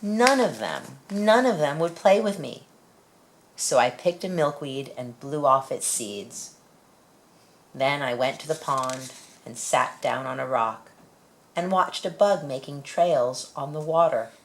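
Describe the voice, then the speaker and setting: A woman with a low-pitched voice reads a picture book aloud for young children. Her delivery is expressive storytelling, in a deep female register. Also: slow pace, a little hiss or hum, low-quality recording. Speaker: storybook reader.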